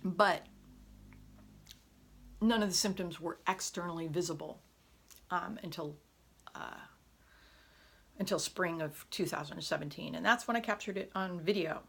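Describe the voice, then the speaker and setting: A woman chatting in an unscripted home vlog, often pausing with fillers and correcting herself, her voice hoarse at times. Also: lowish voice, a bit bright. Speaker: vlogger. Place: home room. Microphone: camera on a tripod.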